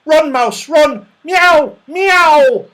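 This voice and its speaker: A man voicing an excitable, scatterbrained, slightly deaf fellow from a children's storybook. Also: very high-pitched, drawn-out words, pitched above the performer's own voice, exaggerated voice. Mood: mischievous. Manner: exclamatory, scatterbrained.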